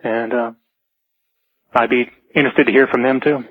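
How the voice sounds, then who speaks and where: Male caller, mid-pitched, matter-of-fact but tentative storyteller, dry narrowband telephone audio. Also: fairly even intonation, moderate pace, no echo. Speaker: radio show caller. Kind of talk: unscripted personal anecdote. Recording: narrow, dry phone line.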